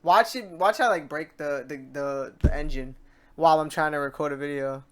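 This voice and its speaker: High-voiced man, chatty rambling tutorial host. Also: normal speed, clean tone. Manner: informal, chatty and rambling, stumbles and repeats words. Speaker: host of a software tutorial series. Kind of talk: casual screen-recorded software tutorial, talking off the cuff. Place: voice-over to a screen recording.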